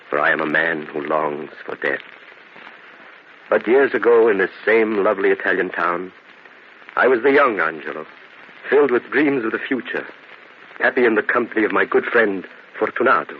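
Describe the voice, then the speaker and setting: Man playing earnest, low-voiced Italian nobleman. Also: natural voice. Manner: earnest, theatrical, formal wording. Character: embittered Italian nobleman narrating, once a lovestruck, trusting young man. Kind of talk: old-fashioned radio drama acting. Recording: muffled, hissy old radio drama recording.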